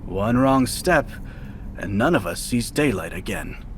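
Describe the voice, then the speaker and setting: An actor playing a thoughtful fortune-teller in a historical Chinese game drama, with a measured, deliberate delivery. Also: male voice, fairly low pitch, expressive, fairly bright tone, a little hiss or hum. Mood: wary. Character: thoughtful fortune-teller man in a heist crew.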